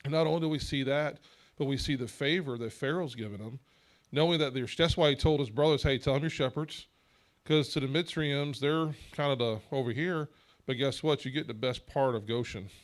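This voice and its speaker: Earnest man leading a Bible study. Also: mid-range voice. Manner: measured, earnest teaching with rhetorical questions. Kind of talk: church bible discussion.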